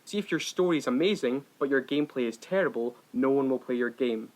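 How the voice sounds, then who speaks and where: A man's voice: mid-range voice, fairly quick, darkish tone, rough recording. A man co-hosting a podcast, giving opinions in an emphatic, argumentative way and often checking in with listeners. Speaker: podcast co-host. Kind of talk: casual opinionated discussion.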